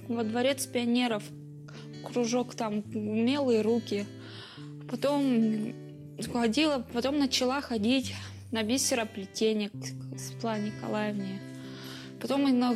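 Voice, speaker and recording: A woman in her twenties giving a simple personal account in short, halting phrases, correcting herself as she goes. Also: on the high side. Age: 20s. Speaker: interviewee at a social workshop. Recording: music bed under interview. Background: instrumental music.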